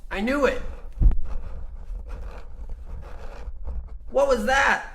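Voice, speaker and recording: A man's voice: extremely high pitch, moderate pace, fairly bright tone. A man playing a younger coal miner, earnest, desperate and guilt-ridden, in an old-time radio comedy. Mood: panicked, exasperated. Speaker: radio play actor.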